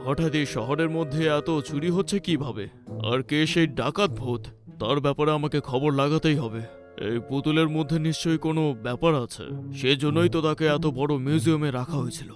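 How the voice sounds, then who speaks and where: A man's voice: a little high, a little fast, faint music behind, close to an everyday voice. A man voicing a stern, determined police inspector in a cartoon. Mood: pondering. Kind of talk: Bengali cartoon voice acting.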